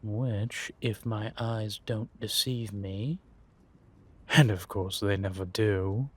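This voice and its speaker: A man playing a world-weary, wry and menacing vampire intelligence operative in an audio roleplay, confiding in a measured, drawn-out way. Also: on the low side, steady tone, husky. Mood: wry, amused. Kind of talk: roleplay audio drama monologue addressed to a listener.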